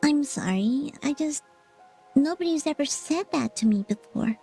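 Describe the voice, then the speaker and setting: A woman's voice: sits high, lively intonation, unhurried, dark tone, soft background music. A woman voicing a feisty, cute small flying fox companion in a video game, sassy and emphatic. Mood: earnest.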